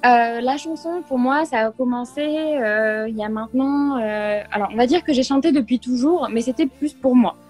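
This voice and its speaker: A woman's voice: high-pitched, normal speed, few pauses, a little mellow, faint music behind. A young woman from Limoges, a singer answering radio interview questions, hesitant with many fillers and little laughs. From France, Limoges. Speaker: singer guest.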